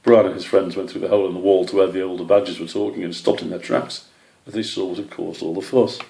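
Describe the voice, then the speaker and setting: A man's voice: deep voice, little pitch movement, fast talker, gravelly, mellow, roomy sound. A man reading a scripted children's animal story as its narrator. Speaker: author or story reader.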